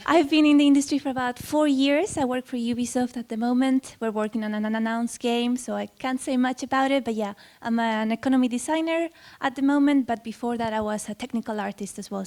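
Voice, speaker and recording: Upbeat Spanish-accented woman, higher voice. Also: steady tone, fairly quick, a little mellow. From Spain. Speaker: panelist, game designer. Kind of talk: panel talk to an audience.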